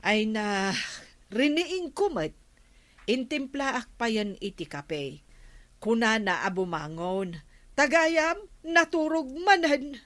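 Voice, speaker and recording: A long-suffering village captain's wife in a comic radio drama. Her Ilocano-accented voice is fairly high and sharp-edged, forever scolding and fed up. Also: expressive, slow pace. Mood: apologetic, weary. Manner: scolding, exasperated. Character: exasperated nagging wife of the lazy village captain. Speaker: radio comedy drama actress. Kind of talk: Filipino radio comedy drama acting.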